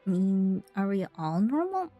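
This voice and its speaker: A woman's voice: mid-range voice, big pitch swings, unhurried, mellow, lo-fi sound, faint music behind. A woman game streamer reacting casually to gameplay and chatting to viewers. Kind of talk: casual live gameplay reaction and reading along.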